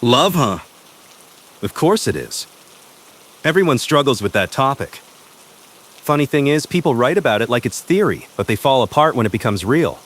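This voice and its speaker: Male actor as confident, possessive professor for intimate ASMR roleplay. Medium pitch, smug, teasing, commanding, deliberate pauses. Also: big pitch swings, a bit bright. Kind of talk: boyfriend ASMR roleplay, spoken intimately to the listener.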